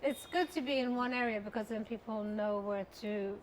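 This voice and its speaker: Chatty middle-aged businesswoman, higher voice. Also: normal speed, a little hiss or hum. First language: Persian. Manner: chatty storyteller, lively when recounting. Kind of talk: interview conversation.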